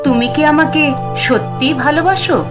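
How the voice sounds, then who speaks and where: A woman playing the sorrowful young ghost of a landlord's daughter in an audio horror story, pleading softly and dramatically. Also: on the high side, animated, normal speed, music in the background, close to an everyday voice. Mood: yearning.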